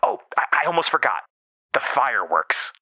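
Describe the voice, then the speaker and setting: A man plays a fifteen-year-old boy prankster in an audio drama, eager and breezy. The voice is pitched up from an adult register and very bright, in a dry, close recording. He coaxes like someone always up for mischief. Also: big pitch swings, moderate pace, no room sound, exaggerated voice. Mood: eager, mischievous. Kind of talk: acted dialogue within horror drama narration.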